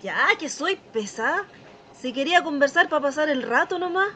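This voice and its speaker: A woman's voice: sits high, expressive, normal speed, sharp tone. A woman playing a prying, chatty small-town Chilean gossip in a naturalistic radio drama. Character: nosy, gossiping small-town female coworker.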